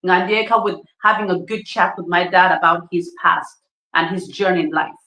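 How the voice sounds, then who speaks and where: A woman's voice: fairly low pitch, normal speed, bright, forceful tone, roomy sound, poor audio. A woman from Uganda, a mother of four, answering interview questions in an earnest, reflective way, often repeating phrases for emphasis. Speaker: panel guest.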